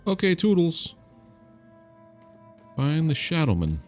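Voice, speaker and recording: A man's voice: a little high, wildly swooping pitch, slow pace, faint music behind. A man hosting a casual let's-play, offhand and wry as he comments and reads out dialogue choices. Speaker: gaming video host.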